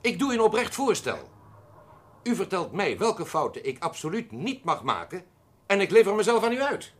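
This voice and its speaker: Radio drama casting: pompous male accountant plotting coolly, husky, very animated intonation, stiffly formal diction. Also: high-pitched, normal speed, heavily acted. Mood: insistent, eager. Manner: pompous, precise, theatrically formal, emphatic. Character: pompous, prickly accountant coolly proposing a perfect murder. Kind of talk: Dutch radio drama acting.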